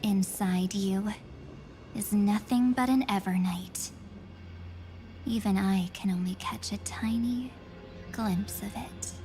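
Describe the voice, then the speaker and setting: A woman voicing an enigmatic, cold young woman in a video game, speaking in measured, breathy half-whispers. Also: medium pitch, mellow, lightly acted. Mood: solemn, ominous. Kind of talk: scripted video game acting. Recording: music behind the voice.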